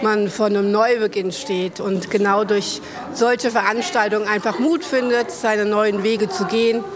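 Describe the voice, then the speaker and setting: A woman at a live event, speaking quickly and with enthusiasm. Her voice sits at a middle pitch. Crowd chatter fills the background. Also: steady tone. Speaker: event attendee.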